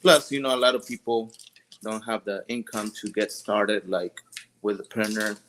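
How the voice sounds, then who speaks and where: A man's voice: fairly low pitch, steady tone, moderate pace, background noise. A man from Mexico with a Mexican Spanish accent, sharing business tips in an easygoing, hesitant way. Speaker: small business owner. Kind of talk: casual tutorial chat.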